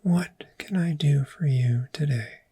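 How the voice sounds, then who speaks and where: Casting: woman to play a calm dragon therapist for ASMR roleplay; mellow, whispery, extremely slow and measured delivery, reassuring. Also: sits low, heavily acted. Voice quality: breathy, whispery. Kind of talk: soft-spoken ASMR roleplay.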